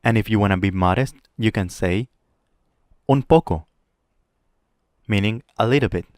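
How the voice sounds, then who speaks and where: A man teaching a Spanish lesson, modeling phrases clearly and slowly for the listener to repeat. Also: lowish voice, a little mellow, no room sound, studio quality. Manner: clear, slow model pronunciation with pauses to repeat. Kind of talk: language lesson with drills.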